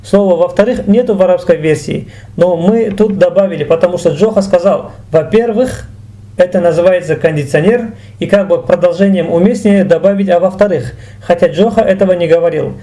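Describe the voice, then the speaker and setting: A man's voice: high-pitched, a little fast, mellow. A man teaching in an explanatory video lesson, didactic and careful to enunciate each example. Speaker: language teacher.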